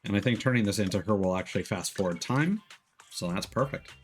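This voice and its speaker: A man chats casually through a game livestream, rambling into joking asides. His pitch moves around in a lively way, and faint music plays behind him. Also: a little fast. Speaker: game streamer. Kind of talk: casual livestream commentary while playing.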